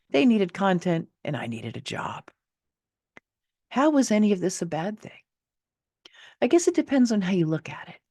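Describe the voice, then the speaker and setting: A woman's voice: sits low, a little mellow, no echo, clean studio sound. A woman reading her own personal story as its author, in a candid, wry, conversational way with casual asides. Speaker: author and narrator. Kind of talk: expressive personal memoir reading.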